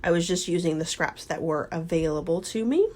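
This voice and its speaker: A woman narrating her scrapbook layout step by step in a casual voice-over, her voice hoarse and crackly from being sick. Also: fairly low pitch, fairly quick. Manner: relaxed, step-by-step explaining. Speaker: scrapbooking channel host.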